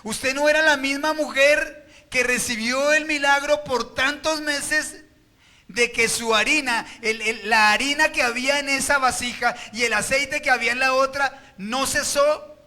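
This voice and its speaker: A man's voice: very high voice, unhurried, bright, forceful tone. A Colombian man preaching a sermon as pastor at a church service, firing rhetorical questions at the congregation.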